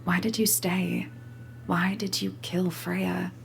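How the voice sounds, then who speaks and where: A woman's voice: fairly low pitch, little pitch movement, takes it slowly, gravelly, exaggerated voice. A woman playing a probing, serious detective in a video game, questioning a culprit with short, pointed questions. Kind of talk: scripted video game dialogue. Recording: echoey, with music behind.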